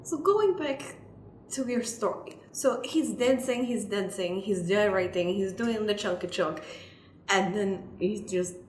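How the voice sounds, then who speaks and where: A woman's voice: medium pitch, unhurried, natural voice. Lady doing a brash ex-dominatrix lawyer, clipped broken english, echoey room w some noise, improv radio comedy. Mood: probing, assured. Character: brash ex-dominatrix woman, newly qualified lawyer, foreign-sounding. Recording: roomy echo, clear background noise.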